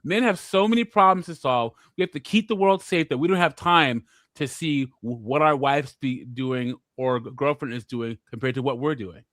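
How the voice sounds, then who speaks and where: A man's voice: medium pitch, moderate pace, fairly bright tone, no echo. Opinionated co-host man, dry close sound. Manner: opinionated, stammering restarts. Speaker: podcast co-host. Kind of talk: casual call-in chat.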